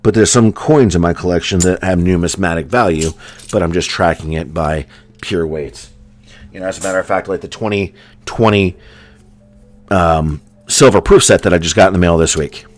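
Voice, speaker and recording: A man's voice: low-pitched, normal speed, soft background music. An American man chatting casually and at length with a live audience as a streamer, with a General American accent and lots of ums and likes. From United States. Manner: rambling, filler-heavy, with many ums and likes. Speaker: coin hunting streamer.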